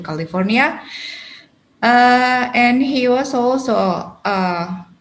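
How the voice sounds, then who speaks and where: A woman moderating an online event, giving a measured, formal introduction of the speaker. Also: medium pitch, slight background noise, low-quality recording. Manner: measured, with some fillers. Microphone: video call.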